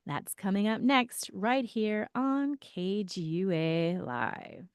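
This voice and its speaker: Chatty woman radio host, smooth dry voice. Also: medium pitch, slow, no echo. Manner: chatty, laughs easily. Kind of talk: casual radio interview. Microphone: studio mic.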